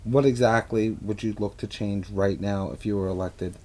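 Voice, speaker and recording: A man hosting a local interview show, asking questions casually with some halting fillers. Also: fairly low pitch, fairly even intonation, dark tone. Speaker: host. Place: a guest's home.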